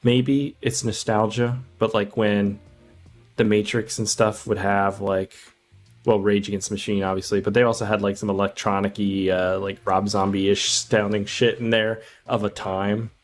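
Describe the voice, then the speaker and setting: A man with a fairly low-pitched voice talks casually as a podcast co-host. He meanders through his opinions in an informal manner, with faint music playing behind him. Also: flat delivery, moderate pace. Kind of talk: casual film discussion.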